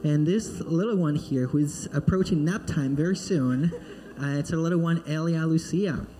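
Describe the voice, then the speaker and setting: A man's voice: a little high, normal speed, dark tone, rough recording. A man from Peru, a missionary speaking in church in a friendly way and leading prayer. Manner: friendly and joking, then earnest in prayer. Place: church sanctuary.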